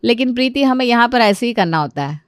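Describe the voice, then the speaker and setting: A woman voicing a dutiful, traditional elder sister-in-law who explains gently and reassuringly in a family story. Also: fairly high pitch, animated, fast talker, smooth, dry recording, studio quality, lightly acted. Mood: patient, instructing. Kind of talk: acted Hindi family story dialogue.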